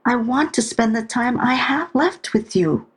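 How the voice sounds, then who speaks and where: An older, dying mother in an audio drama. Her voice is husky and slow, with expressive swings in pitch. She is blunt and stubborn. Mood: weary, resigned. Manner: blunt, deliberate.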